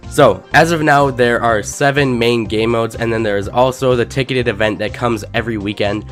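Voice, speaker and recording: A young man who is sick and losing his voice, giving casual, rambling game tips as a gaming YouTuber. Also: medium pitch. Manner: casual, rambling, filler-heavy. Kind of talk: game tips commentary over gameplay.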